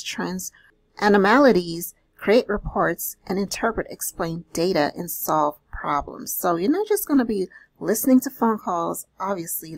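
A woman doing an explanatory voice-over, reading out and paraphrasing listings in a deliberate, instructional manner with small check-ins. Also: on the low side, no room sound, low-quality recording. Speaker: host of a job-leads channel.

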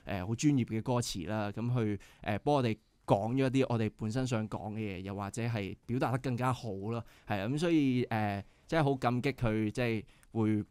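A man's voice: on the low side, fairly quick, hardly any pauses, a little mellow, clear, resonant tone, no room sound. A young man with a Hong Kong Cantonese accent, a band member guest on a radio show, explaining earnestly with many filler sounds. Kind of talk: casual radio interview. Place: radio studio.

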